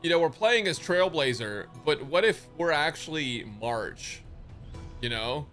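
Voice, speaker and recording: A man's voice: a little high, expressive, a little slow, fairly bright tone. A man streaming live reactions to a game, casual, slangy and jokey. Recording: game music behind the voice.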